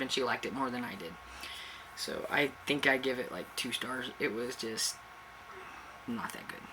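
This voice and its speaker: A woman with a cold, stuffy-nosed and a little raspy, chatting casually to camera as a book channel host and rambling with fillers and restarts. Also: rumbling low, bright, forceful tone, a little hiss or hum.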